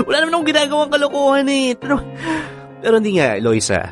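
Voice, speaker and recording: An actor with a Filipino accent playing a defensive, easily rattled husband in a radio drama, conversational and expressive. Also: male voice, extremely high pitch, a little fast, soft background music, exaggerated voice. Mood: playful, reassuring.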